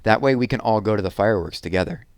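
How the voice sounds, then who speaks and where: A man voicing a gentle, tired, worried mother in a children's story. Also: fairly low pitch, quick pace, clean tone, dry recording, natural voice. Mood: apologetic.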